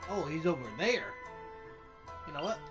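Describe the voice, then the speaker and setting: Very high-pitched man casually commenting on gameplay. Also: big pitch swings, moderate pace. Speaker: gaming channel creator playing the game. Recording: distant voice under loud game music.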